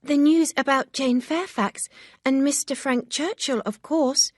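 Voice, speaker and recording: A woman's voice: on the high side, unhurried, no room sound, studio quality, pitched above the performer's own voice. A woman doing a bubbly, eager young woman.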